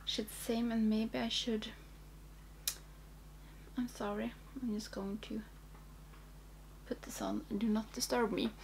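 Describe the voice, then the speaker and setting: A young woman with a Swedish accent, speaking English as a second language and chatting casually and a bit haltingly, as in a makeup vlog. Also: fairly high pitch, little pitch movement, vocal fry, darkish tone, a little hiss or hum. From Sweden. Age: young adult. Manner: hesitant, halting, thinking aloud. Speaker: makeup video creator. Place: small indoor room.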